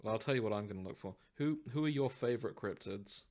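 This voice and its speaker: Low-voiced man in casual podcast chat. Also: fast, a little mellow. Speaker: podcast co-host. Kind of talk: casual fandom chat, some reading aloud of story summaries.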